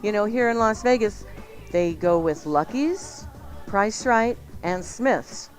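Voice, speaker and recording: Female radio host, medium pitch, lively promotional banter, faint music underneath. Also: slow pace. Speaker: radio cooking show host.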